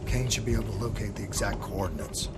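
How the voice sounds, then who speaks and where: An actor playing a determined, earnest soldier who questions insistently in a cinematic video game. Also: male voice, on the low side, fast, lightly acted. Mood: probing. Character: determined male soldier interrogating a dying comrade, urgent and earnest. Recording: loud music behind the voice. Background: loud cinematic music.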